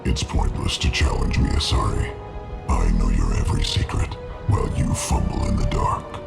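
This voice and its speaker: Game villain casting: huge alien crime lord, abyssally deep growl, cold, imperious, deliberate, under loud music. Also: male voice, lively intonation, full character voice. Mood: threatening. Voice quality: guttural, growling rasp. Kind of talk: video game villain acting.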